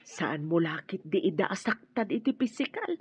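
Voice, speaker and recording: An Ilocano-accented woman playing a proud, protective middle-aged mother in a radio drama, with a husky voice. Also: on the low side, very animated, normal speed, heavily acted. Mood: indignant. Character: proud, protective mother sizing up her child's in-laws.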